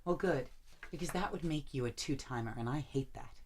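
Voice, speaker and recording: An actress playing a lovesick, anxious and reproachful lover in a naturalistic audio drama, halting and emotional. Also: female voice, a bass voice, a little hiss or hum. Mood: tentative, pointed.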